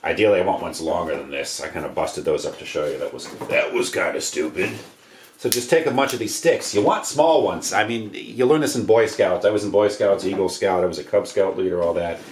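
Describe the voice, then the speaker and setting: Man, American accent, low voice, offhand slangy how-to, roomy indoor echo, some background noise. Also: brisk. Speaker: DIY homesteading presenter. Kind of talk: casual hands-on how-to demonstration. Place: indoors, living room by wood stove.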